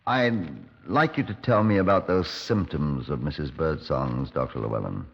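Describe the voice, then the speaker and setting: An actor playing a probing, impatient police superintendent, clipped and questioning, in 1950s radio drama style. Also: male voice, rumbling low, lively intonation, moderate pace, gravelly, husky, full character voice. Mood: insistent. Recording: dull old 1950s radio recording.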